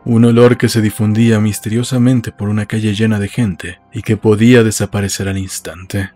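A man's voice: fairly low pitch, little pitch movement, moderate pace, warm tone. A man narrating a novel as a scripted literary audiobook. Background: faint music.

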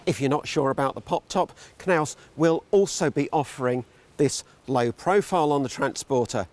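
A man's voice: medium pitch, normal speed, darkish tone, soft background music. An older man presenting a walkaround of motorhomes at an indoor exhibition hall, with wry, dry humour. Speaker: motorhome reviewer.

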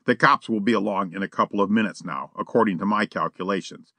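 A man voicing a relaxed, slangy burglar who chats casually and cheekily in an audiobook reading. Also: fairly low pitch, fast, no echo. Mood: easygoing.

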